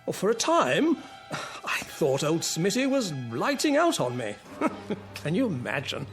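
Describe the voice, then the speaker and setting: A courtly, jovial old air marshal in radio drama style. The voice sits high and rises and falls dramatically, polite and hearty. Faint music plays behind it. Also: male voice, wildly swooping pitch, a little slow, exaggerated voice. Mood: fond reminiscing.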